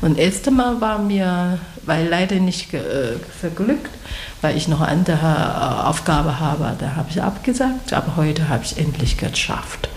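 A woman whose first language is not German interviews a guest in halting German, restarting her words often. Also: sits low, expressive. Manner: halting non-native German with many restarts. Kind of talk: casual interview. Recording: echoey room with faint noise.

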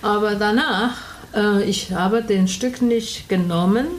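Woman host, Chinese accent, mid pitch, faint background noise, speaks broken but lively German. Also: slow pace. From Taiwan. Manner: broken German, animated, laughs often. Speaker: radio show host, interviewee. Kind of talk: casual interview chat.

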